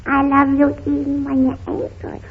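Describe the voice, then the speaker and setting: A woman playing a mischievous, tender little girl with drawn-out, ungrammatical baby talk in a 1930s radio comedy. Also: sits high, completely flat delivery. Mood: sweet, earnest. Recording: scratchy, muffled 1930s radio recording.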